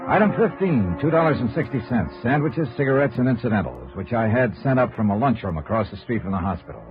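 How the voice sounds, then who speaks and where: A man's voice: mid-range voice, sing-song, fast talker, close to an everyday voice. A male actor playing a cool, hard-boiled insurance investigator in a 1950s radio noir, clipped and matter-of-fact. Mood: brisk reporting. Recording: dull vintage radio recording, music behind.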